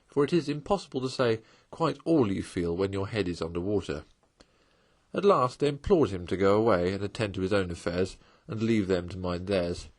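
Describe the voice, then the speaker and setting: A man reading a classic children's storybook aloud in a lively way. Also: low voice, normal speed. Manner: lively, sings a verse in the story. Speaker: audiobook reader.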